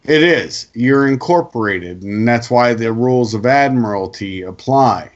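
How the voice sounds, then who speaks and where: A male co-host giving opinionated casual talk, explaining emphatically with halting pauses over a lo-fi connection. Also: mid-range voice, lively intonation. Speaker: co-host putting questions to the guest.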